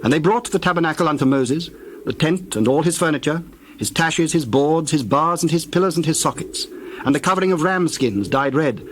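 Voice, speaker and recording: Steady male scripture narrator. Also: on the high side, slight background noise. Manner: steady, measured reading.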